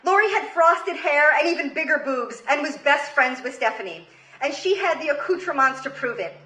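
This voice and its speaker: A woman delivers a comedic personal story live, in a high-pitched, forceful voice. Her delivery is theatrical and deliberate, pausing to set up punchlines. The sound is roomy and live, as from a stage. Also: animated. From Queens, New York, United States. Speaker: comedy show host and storyteller. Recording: roomy live stage recording, faint noise. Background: audience ambience and faint noise.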